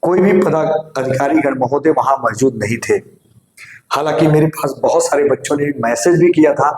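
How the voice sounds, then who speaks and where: Earnest exam-coaching teacher, man, slightly hoarse throat, voice sitting a bit high, recorded in a reverberant room. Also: a little mellow. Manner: earnest, repeatedly urges students to listen carefully. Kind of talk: direct-to-camera exam news update. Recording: roomy echo.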